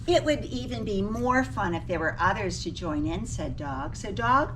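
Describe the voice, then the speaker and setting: A woman reading a picture book aloud to children, expressively, pausing to ask her young listeners questions. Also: medium pitch, animated. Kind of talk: animated storybook read-aloud for children. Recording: distant mic with faint background noise.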